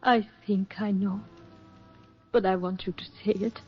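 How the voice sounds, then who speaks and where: A woman's voice: medium pitch, steady tone, faint music behind, lightly acted. An actress playing a devoted, anxious woman in love in a 1940s radio drama, speaking with earnest, tender emotion. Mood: wounded, pleading. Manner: earnest, emotional, measured delivery. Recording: muffled, husky 1940s radio recording.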